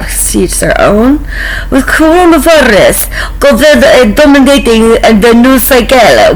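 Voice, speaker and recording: A woman's voice: fairly high pitch, low-quality recording. A woman from Canada vlogging informally, reading aloud and adding casual, offhand asides. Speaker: vlogger sharing a fan song and reading news.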